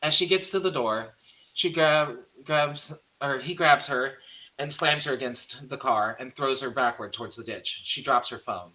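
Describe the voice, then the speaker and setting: Guy reading out script directions, crisp bright voice, trips on words a bit, amateur table read. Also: medium pitch, rough recording. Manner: halting, stumbles and corrects himself. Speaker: narrator reading stage directions.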